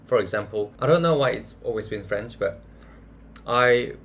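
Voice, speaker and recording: A man's voice: medium pitch, moderate pace, a bit bright, a little hiss or hum. A man chatting casually through a monthly recap vlog, hunting for words with many fillers and restarts. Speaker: vlogger.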